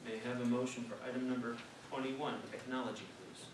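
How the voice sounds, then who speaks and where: A man's voice: medium pitch, steady tone, quick pace. A man chairing a board meeting in formal, formulaic procedural phrases, recorded from a distance in a meeting room. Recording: noisy echoey meeting room, distant microphone.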